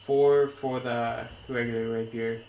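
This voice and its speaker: A man's voice: medium pitch, flat delivery, measured pace, gravelly, warm tone, highs cut off. A man playing a tabletop game, hesitant and asking about the rules. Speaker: player. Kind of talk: casual tabletop play. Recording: echoey room, faint noise.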